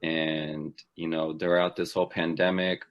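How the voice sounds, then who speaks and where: A man's voice: a bass voice, little pitch movement, a little slow, lo-fi sound. A man in his twenties from Texas, chatting cheerfully as a guest on a laptop video call. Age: 20s. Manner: upbeat, chatty, with soft laughs. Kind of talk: casual video-call chat.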